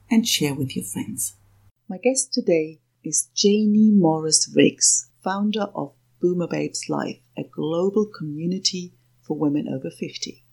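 Lady podcast host, kinda husky, lowish voice, upbeat and laughy. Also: warm tone, low-quality recording. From not Australian, has lived in Australia. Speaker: podcast host and business coach. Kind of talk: casual interview chat.

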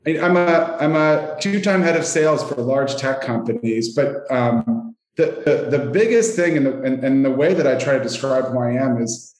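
A man's voice: medium pitch, normal speed, hardly any pauses, a little mellow. Echoey Canadian man, interview guest. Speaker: sales executive, podcast guest. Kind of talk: conversational interview answers. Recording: roomy, echoey sound.

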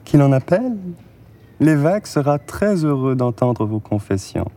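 An actor playing a stern parish priest who speaks in a solemn, preaching manner. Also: male voice, medium pitch, big pitch swings, measured pace, dark tone. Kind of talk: film acting.

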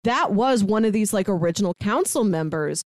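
A woman's voice: medium pitch, animated, normal speed, dry recording. Casual woman podcaster with a dry, close sound. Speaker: podcast co-host. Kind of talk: casual book discussion.

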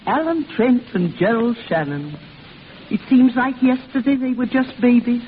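An actress playing a frank, kindly old grandmother in a 1940s radio drama, gentle, knowing and amused. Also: fairly high pitch, normal speed, natural voice. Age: older. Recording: muffled, hissy old radio recording.

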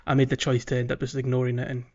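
Casting: male podcast co-host, medium-pitched, conversational and halting, on a muffled lo-fi track. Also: completely flat delivery. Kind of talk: casual chat.

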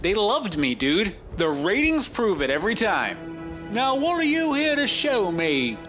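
An actor playing a vain, needy American news anchor who sounds self-important and defensive. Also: male voice, sits high, huge pitch swings, unhurried, fairly bright tone, soft background music, full character voice.

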